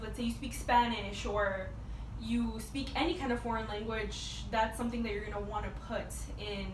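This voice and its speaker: A young woman giving a prepared persuasive speech as a student, in a halting, informal way with repeated words. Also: on the high side. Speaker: student speaker. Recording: echoey, distant mic with background noise.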